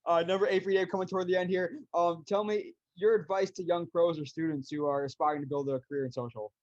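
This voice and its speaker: Fast-talking American man, slightly muffled. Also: sits high, fairly even intonation, a little mellow, no room sound. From San Diego, United States. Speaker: podcast host, interviewer. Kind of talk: casual interview conversation.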